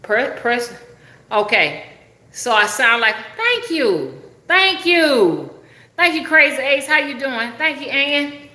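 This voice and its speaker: Middle-aged Alabama woman, strong Southern accent, folksy live-stream host, bright voice, echoey room. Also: sits high, big pitch swings, takes it slowly. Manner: folksy, chatty, uses country dialect. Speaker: rootworker and spiritual reader. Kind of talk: casual live-stream chat.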